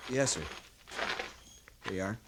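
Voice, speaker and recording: A man's voice: on the low side, measured pace, lightly acted. A man playing a formal, earnest young cavalry lieutenant in a 1950s radio western, polite and deferential. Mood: tentative, respectful. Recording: noisy vintage 1950s radio recording.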